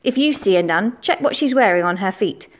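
A woman's voice: mid-range voice, lively intonation, a little fast, lightly acted. A woman voicing a matter-of-fact schoolgirl sharing what she has heard. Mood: knowing. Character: know-it-all schoolgirl.

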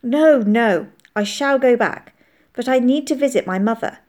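A woman's voice: a little high, unhurried, a little mellow, voice pushed up, natural voice. A woman voicing a polite, earnest soldier who speaks in careful, formal phrases in an audiobook. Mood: earnest, worried. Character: young soldier hiding in a barn, polite, guarded, earnest. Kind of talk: audiobook character voice.

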